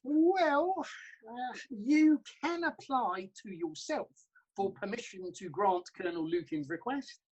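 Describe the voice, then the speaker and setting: A woman acts out a deferential older man, a court clerk in a stage farce. The voice is raspy and moves up and down a lot. The manner is prim, hesitant and apologetic. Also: a little high, animated, slow pace, a little mellow, heavily acted, low-quality recording. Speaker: actor.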